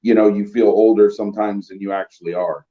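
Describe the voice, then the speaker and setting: A man's voice: fairly low pitch, fairly even intonation, fairly quick, muffled recording. A man in his late forties speaking as a guest on a livestream in a plainspoken, matter-of-fact way. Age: 40s. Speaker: guest on the stream. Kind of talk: casual livestream chat.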